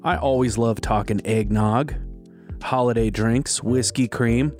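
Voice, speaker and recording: A man's voice: mid-range voice, steady tone, measured pace, a little mellow, soft background music. Upbeat chatty male podcast host. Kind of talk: casual podcast intro and interview.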